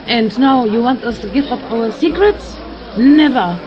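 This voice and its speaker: A fantasy audio drama's fanatic at the council fire, preaching condemnation in a high voice through a dull, hissy recording a woman acts her out. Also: measured pace, crowd noise, natural voice. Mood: scornful. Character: zealous, accusing female religious vampire hunter. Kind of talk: fan audio drama acting.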